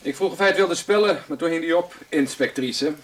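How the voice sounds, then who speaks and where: A man's voice: fairly high pitch, a little fast, slight background noise, close to an everyday voice. An actor playing an offhand, laconic male police assistant in a radio drama.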